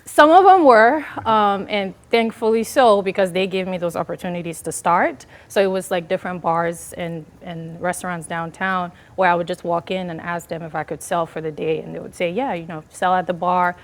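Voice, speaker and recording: A woman's voice: on the low side, steady tone, moderate pace, hardly any pauses. A woman chef from Liberia, answering interview questions in an earnest, chatty way with many fillers. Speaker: chef and business owner, guest.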